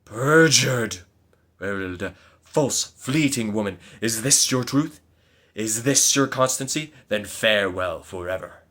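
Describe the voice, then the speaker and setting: A man voicing a scheming knight in a gothic tale, speaking in stern, measured declamations. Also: fairly low pitch, animated, a bit bright. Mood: accusing. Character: scheming male knight, smooth and commanding. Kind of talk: dramatic gothic reading.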